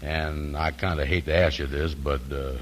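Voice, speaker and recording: A low-voiced, slow-witted but big-hearted uncle from a 1940s radio comedy. He talks in a folksy, ungrammatical drawl, humble and proud. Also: fairly even intonation. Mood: humble, pleading. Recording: vintage 1940s radio broadcast.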